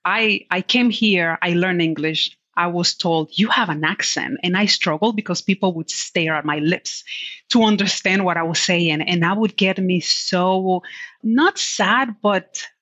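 A woman from the Dominican Republic, a native Spanish speaker with a Dominican accent, telling her story as a podcast guest in a candid, animated way. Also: lowish voice, unhurried, breathy edge, dry recording. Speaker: guest, tech executive. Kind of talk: conversational podcast interview. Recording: dull lo-fi audio.

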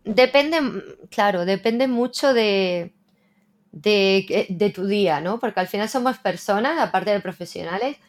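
A woman's voice: medium pitch, measured pace. Reflective Spanish-speaking woman translator. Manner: reflective, frequent "¿no?" tags. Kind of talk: casual interview conversation.

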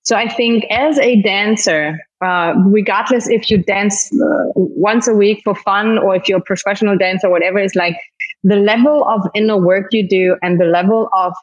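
A woman's voice: mid-range voice, moderate pace, dull audio. A woman with a German accent, talking openly and reflectively as a guest on a podcast, with many restarts and fillers. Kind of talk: podcast conversation.

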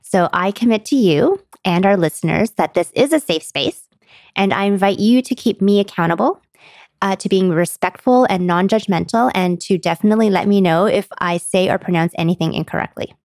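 A woman's voice: medium pitch, brisk, darkish tone, dry recording. A woman hosting a podcast interview, speaking in an earnest, welcoming way. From China. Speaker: podcast host.

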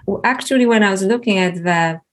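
A woman's voice: mid-range voice, fairly quick. A woman with a Polish accent, an author and scholar answering interview questions in a thoughtful, earnest way. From Poland. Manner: thoughtful, earnest, with some restarts.

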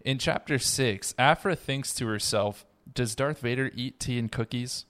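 A man's voice: medium pitch, measured pace, fairly bright tone. A man hosting a casual roundtable podcast discussion in a conversational way. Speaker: podcast host.

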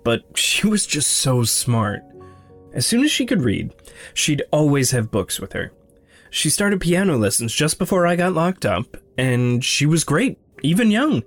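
Wounded young man, mid-range voice, hesitant, introspective, gentle, faint music behind, audio drama. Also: medium pitch. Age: young adult. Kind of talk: naturalistic audio drama acting.

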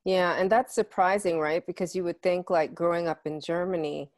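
A woman hosts a podcast interview in a chatty way, filling her talk with little filler words. Her voice sits low for a woman. The recording is dry, with no room echo. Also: fairly even intonation, normal speed, darkish tone. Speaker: podcast host.

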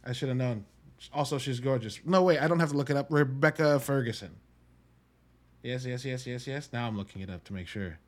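A man's voice: medium pitch, a little fast. A man hosting a casual solo movie review podcast, talking in an informal, slangy, emphatic way with some swearing. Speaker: podcast host.

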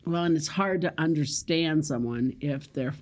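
A woman's voice: low voice, moderate pace, darkish tone. A woman comedian with a whiny, nasal voice, chatting casually and telling stories from the stage. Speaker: comedian and club host. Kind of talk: casual interview chat.

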